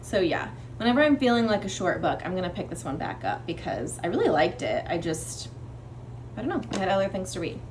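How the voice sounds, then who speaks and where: A woman's voice: fairly low pitch, sing-song, brisk, a little hiss or hum. A woman chatting casually as a book vlogger, in an informal, rambling style where she often interrupts herself. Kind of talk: casual chatty vlog to camera.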